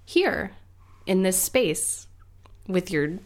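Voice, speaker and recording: A woman's voice: medium pitch, expressive, extremely slow. A woman in her late twenties co-hosting a podcast, chatty and giggly, with many fillers. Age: 20s. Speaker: podcast co-host. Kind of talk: casual podcast chat.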